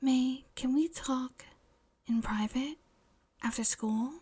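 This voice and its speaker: A shy teenage girl, acted by a woman, proper and earnest, in a fan-made audio story. Her voice is high and soft, breathy at times, and she speaks in a hesitant, flustered way. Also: slow, full character voice. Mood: tentative. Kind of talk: fan fiction audio drama.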